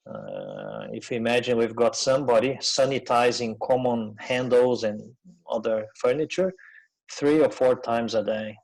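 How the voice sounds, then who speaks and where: A middle-aged man with a Brazilian accent speaks at a medium pitch as he presents a webinar. His delivery is informal and conversational, with frequent hesitations and pauses as he finds his words in a second language after Portuguese. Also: gravelly, darkish tone, poor audio. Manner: hesitant, conversational, pausing often.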